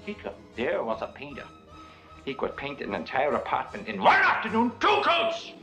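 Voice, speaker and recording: An actor playing an excitable, swooping testimonial man in a comic audio ad over music. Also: high-pitched, moderate pace, gravelly, exaggerated voice. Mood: excited awe. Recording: music behind the voice.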